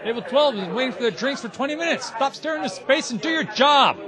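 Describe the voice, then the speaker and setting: Man playing a high, fast, irate boss. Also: animated. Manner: scolding, impatient. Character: irate, bossy male restaurant boss. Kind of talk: audio drama acting. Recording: busy crowd noise and music behind.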